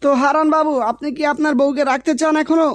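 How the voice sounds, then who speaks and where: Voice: sits high, flat delivery, quick pace, no room sound, close to an everyday voice. A performer voicing a stern, bossy male village headman, authoritative and threatening, in a comic Bengali drama style. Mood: indignant.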